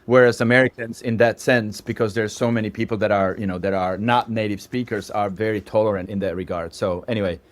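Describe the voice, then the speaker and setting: A man's voice: on the low side, steady tone, a little fast, hardly any pauses. A man in his fifties from Slovakia with a Slovak accent, a podcast guest who explains at length with asides. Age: 50s. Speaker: guest, startup founder. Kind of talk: podcast interview.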